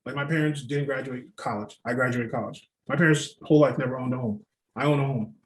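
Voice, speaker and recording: A man's voice: mid-range voice, fast talker, a little hiss or hum. A man, a podcast guest telling stories in a rambling way, full of "like". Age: 30s. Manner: storytelling, rambling, with frequent "like" fillers. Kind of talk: casual podcast conversation. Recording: roomy echo.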